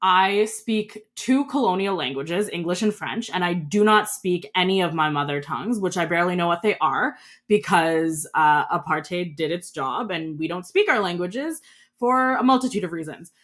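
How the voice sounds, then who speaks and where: A woman's voice: fairly low pitch, expressive, normal speed, a bit bright. A woman co-hosting a casual podcast chat, speaking earnestly with some wry asides. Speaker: podcast co-host.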